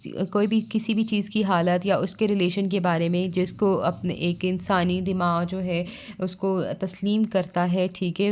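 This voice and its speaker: A woman's voice: mid-range voice, darkish tone. A woman with a Pakistani accent giving an explanatory lesson as a law tutor, reading out and explaining line by line.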